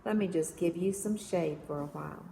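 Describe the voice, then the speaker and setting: A woman's voice: on the low side, normal speed, creaky, mellow. A woman voicing an easygoing, folksy young man who loves nature, in a storybook read-aloud in an echoey room. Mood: dreamy, tender. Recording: echoey reverberant room with background noise.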